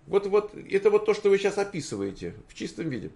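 A man in his sixties giving an informal, argumentative commentary, posing rhetorical questions and stammering through halting restarts. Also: high-pitched, sing-song, creaky, darkish tone. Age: 60s. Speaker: streamer answering viewer comments.

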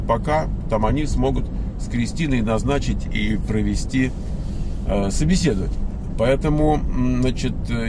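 Rambling man over loud traffic. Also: medium pitch. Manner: rambling, many fillers and restarts. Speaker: employer explaining job requirements. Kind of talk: casual spoken monologue to followers.

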